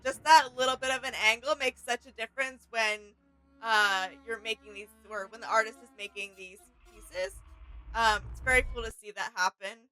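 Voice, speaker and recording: A woman's voice: fairly high pitch, steady tone, moderate pace, bright, forceful tone, faint music behind, poor audio. A woman chatting casually as co-host of a reaction video.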